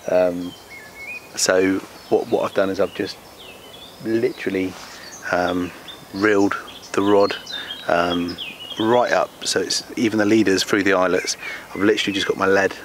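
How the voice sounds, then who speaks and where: A man's voice: sits low, fairly even intonation, unhurried. A man chatting casually and informally to camera as a fishing vlogger. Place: outdoors on a wooded lake bank. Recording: outdoor nature noise behind voice.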